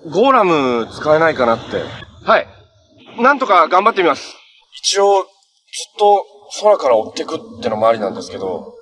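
An actor playing a cheerful, earnest young superhero in a live-action TV drama, polite and easygoing. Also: male voice, fairly high pitch, big pitch swings, brisk, fairly bright tone. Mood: serious, determined. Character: cheerful, earnest young male hero who fights monsters.

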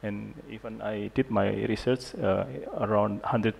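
A man in his thirties with an Indonesian accent, giving a conference lecture on a handheld mic in a large hall, halting and self-deprecating with many fillers. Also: on the low side, steady tone, creaky, highs cut off, a little hiss or hum. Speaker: guest lecturer, urologist.

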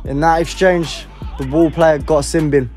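Guy doing footy commentary, highish voice, excitable, music and crowd going behind him. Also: a little slow. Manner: excitable, breaking into shouts at big moments. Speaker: football match commentator. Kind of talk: live amateur football play-by-play commentary. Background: music and outdoor crowd sounds.

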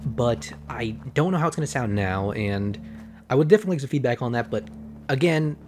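Hoarse-ish guy, rambling let's-play commentator, casual fillers, faint music under the voice. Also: medium pitch, animated, fairly quick, a little mellow. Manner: rambling, chatty, frequent fillers and self-corrections. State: slightly hoarse. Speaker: gameplay commentator. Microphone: desk microphone.

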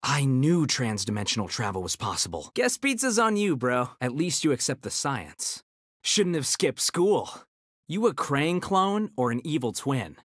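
Nerdy young american ninja turtle from a fighting game, cocky, super animated, dry close sound. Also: male voice, mid-range voice, a little slow, breathy edge, no echo, exaggerated voice. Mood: confident, nerdy. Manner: nerdy, confident, quippy delivery. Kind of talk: video game character battle banter.

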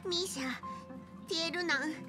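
A woman voicing a small, proud, fussy conductor creature in an anime game, blustering in gruff old-fashioned phrasing. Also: very high voice, moderate pace, music in the background. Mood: indignant grumbling.

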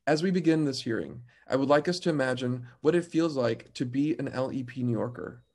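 A man in his thirties giving an earnest, measured opening statement as committee chair over a video call from a home office. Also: mid-range voice.

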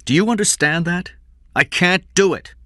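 A man's voice: very deep voice, sing-song, normal speed, creaky, fairly bright tone, breathy edge, no echo, studio quality, full character voice. A man playing a stern, unyielding employer under strain, curt and refusing, in an audiobook. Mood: agitated refusal. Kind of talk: audiobook character acting.

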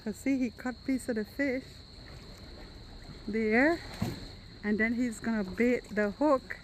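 A woman with a fairly high voice talks casually to her camera, eager and full of exclamations. She is recorded outdoors on a handheld camera, with noticeable background noise around her. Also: takes it slowly, rough recording. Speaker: travel vlogger. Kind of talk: casual chat while filming. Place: outdoors at a seaside beach and rocks. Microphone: handheld camera held by the speaker. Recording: outdoor noise, lapping water.

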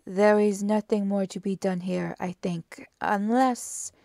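A woman voicing a precise, courteous, self-assured male detective with formal, deliberate phrasing in an audiobook. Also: mid-range voice, steady tone, mellow, dry recording, clean studio sound. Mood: thoughtful, secretive. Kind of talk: audiobook character dialogue.

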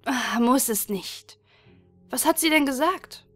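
Woman acting a high-voiced, coaxing bicycle girlfriend. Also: animated, slow pace, fairly bright tone, faint music behind, full character voice. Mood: eager, coaxing. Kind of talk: audio drama acting.

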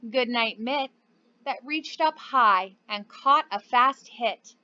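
Casting: young female children's teacher, high-pitched, unhurried delivery, encouraging manner. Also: pushed and bright, muffled recording. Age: young adult. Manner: encouraging, addresses young children simply and clearly. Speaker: preschool teacher. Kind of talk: children's lesson and storybook read-aloud.

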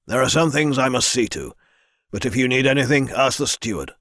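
A man voicing a stern, powerful lord who speaks in a measured, formal way. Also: mid-range voice, animated, fairly bright tone, no echo, studio quality.

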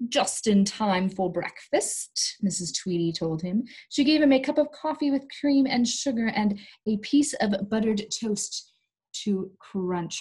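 A young woman reading a storybook aloud to small children in an animated, coaxing way, pausing to ask them questions. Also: medium pitch, husky. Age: young adult. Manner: animated, coaxing, exaggerated delivery for young listeners. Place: small indoor room.

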